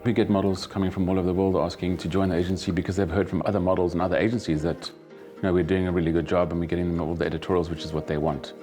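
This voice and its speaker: A man who runs a model agency, speaking in a brisk, businesslike way in a documentary interview. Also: sits low, little pitch movement, warm tone, soft background music.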